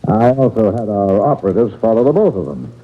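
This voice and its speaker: An actor playing a shrewd, genial but probing detective agency chief in a folksy, deliberate old-time radio drama style. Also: male voice, on the low side, sing-song. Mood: brisk, confiding. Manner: folksy, deliberate, knowingly insinuating. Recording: thin, phone-like old radio broadcast.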